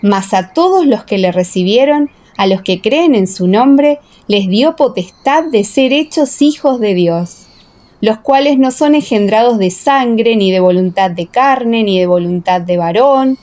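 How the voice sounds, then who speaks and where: A woman narrating a dramatised scripture audiobook in a solemn, measured way. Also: a little high, expressive, darkish tone.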